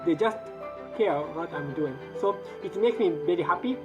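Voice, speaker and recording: A woman's voice: fairly low pitch, expressive, normal speed, lo-fi sound. A woman from Japan with a Japanese accent and a slight speech impediment, giving a personal testimonial. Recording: music under the voice. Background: background music.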